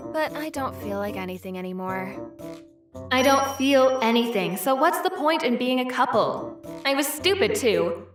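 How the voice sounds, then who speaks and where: A woman voices a young wife with a high voice, weary but resolute. She narrates a manga dub earnestly and matter-of-factly over music. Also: lightly acted. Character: weary, resolute young wife telling her divorce story. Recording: music bed under the voice.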